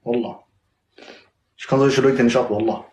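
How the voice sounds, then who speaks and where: A man's voice: medium pitch, steady tone, normal speed, a little mellow, reverberant. A man in his twenties on a phone livestream, bantering in street slang and swearing "Wallah" at nearly every turn. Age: 20s. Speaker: livestream guest.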